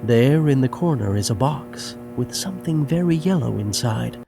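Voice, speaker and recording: A man's voice: medium pitch, lively intonation, normal speed, gravelly. A man narrating the action of a scripted audio drama.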